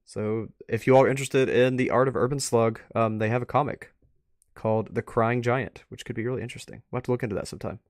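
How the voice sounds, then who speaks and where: A man's voice: mid-range voice, steady tone, fast talker, no echo, studio quality. A man giving casual, off-the-cuff commentary as a stream host, rambling and musing aloud. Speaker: host of a live art review stream.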